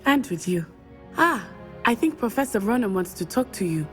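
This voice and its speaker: A woman's voice: mid-range voice, big pitch swings, normal speed, natural voice. A woman voicing a friendly, earnest teenage witch from Uganda with an East African accent in a fantasy video game. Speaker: actor voicing a game character. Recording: prominent game music underneath.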